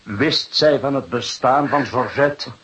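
An actor playing a shrewd police detective in a Dutch radio drama, speaking deliberately and with dry irony. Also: male voice, mid-range voice, fairly bright tone, poor audio. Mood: probing. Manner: deliberate, probing, dryly ironic.

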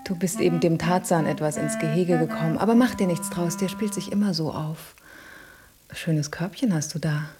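A woman's voice: fairly low pitch, steady tone, normal speed, over music, natural voice. An actress playing a flirtatious, self-assured female cat in a radio play, coaxing and often dropping to a murmur. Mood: coaxing, curious. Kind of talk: German radio play acting.

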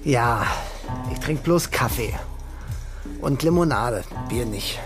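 An actor playing a brooding, timid man in a radio drama, speaking in terse, halting, insistent phrases. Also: medium pitch, animated, music in the background. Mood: guarded. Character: brooding timid man begging to be rid of his women.

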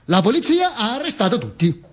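A man's voice: extremely high pitch, big pitch swings, voice pushed up. A male stage actor playing a dead dictator who tells his own posthumous story in an ironic, emphatic theatrical monologue. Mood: urgent narration. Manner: emphatic, obsessively repetitive, deliberate pauses.

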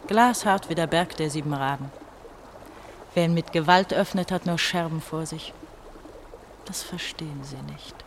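An actress playing a bitter, feverish eighteen-year-old East German girl in a German radio play, brooding and halting in her delivery. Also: deep voice, slight background noise, natural voice. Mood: weary despair.

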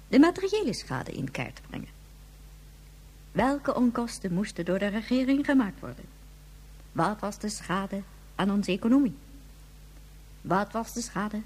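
Imperious, husky, swooping lady president. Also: medium pitch, a little mellow, poor audio. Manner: formal, commanding, oratorical. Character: stern, imperious female president of a moon government. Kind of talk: Dutch science fiction radio play acting.

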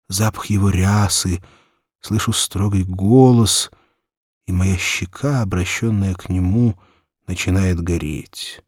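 Male audiobook narrator, lowish and unhurried, acting out a children's tale with feeling, in a very dry studio sound. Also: husky, dry recording. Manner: expressive, measured, acted delivery. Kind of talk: dramatic literary narration of a children's story in first person.

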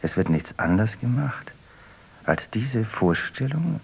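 Skeptical man, radio play, low husky voice, terse. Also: big pitch swings, slow, heavily acted. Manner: terse, dry, contradicting. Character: skeptical man questioning shared childhood memories. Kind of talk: experimental radio play dialogue.

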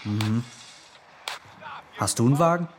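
A man's voice: medium pitch, animated, unhurried, lightly acted. A male actor playing a cocky, laconic teenage boy in a radio drama, slangy and boastful, over a noisy ambient background. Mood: cocky, cool.

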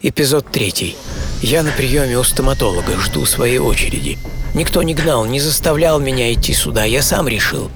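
A man's voice: mid-range voice, expressive, moderate pace, noisy background. A man reading a scripted first-person radio story in a reflective, intimate, wry way. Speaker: radio host and narrator. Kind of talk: scripted first-person audio drama narration. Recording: voice over background music.

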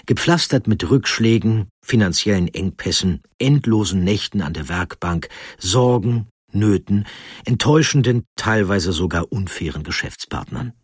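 Male narrator for history feature, low husky voice, measured scripted delivery with dramatic pauses. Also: animated, no echo, studio quality.

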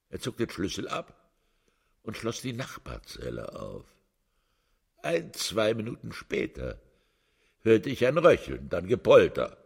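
An actor playing an accused prisoner in a radio drama, pleading his innocence emotionally with a husky voice. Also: heavily acted. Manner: pleading, emotional, swinging pitch.

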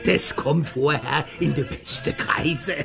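An actor in a German radio play as a jovial, hard-drinking monk, with a colloquial, chuckling manner. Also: male voice, fairly high pitch, slow, gravelly, over music. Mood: boisterous, tipsy.

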